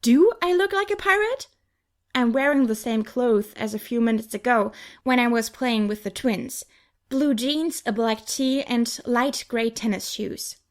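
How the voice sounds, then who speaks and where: A woman narrator with a highish voice reads a young adult fantasy novel. The delivery is scripted first-person storytelling, in the manner of an audiobook. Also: unhurried.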